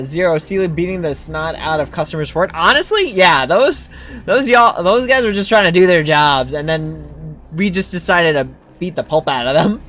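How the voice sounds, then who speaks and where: A man's voice: a little high, a bit bright. A man chatting casually as he streams a video game. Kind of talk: casual livestream chat.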